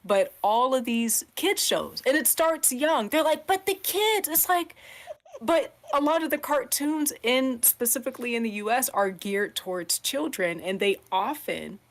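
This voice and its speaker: A woman's voice: sits high, animated, a little slow. A woman on a podcast panel, talking casually and earnestly as she explains a point, acting out imagined quotes as she goes.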